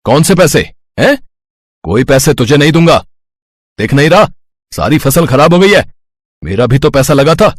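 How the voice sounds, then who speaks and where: A man's voice: a little high, very animated, normal speed, long pauses, no echo, clean studio sound. A man playing a rich, haughty village landlord in a moral story, with a domineering manner. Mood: angry. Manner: scolding, domineering. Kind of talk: dramatised moral story acting.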